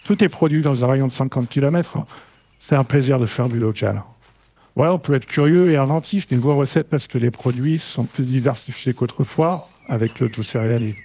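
Late-fifties male, warm dark timbre, mid pitch, informal conversational testimonial. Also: normal speed, husky. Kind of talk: relaxed first-person testimonial.

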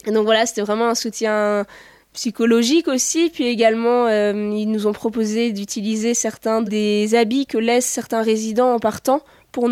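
Young woman interviewee, crisp voice, close dry sound, unscripted and a bit hesitant. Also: on the high side, darkish tone, no room sound. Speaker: interviewee, student researcher. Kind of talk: spontaneous interview answers.

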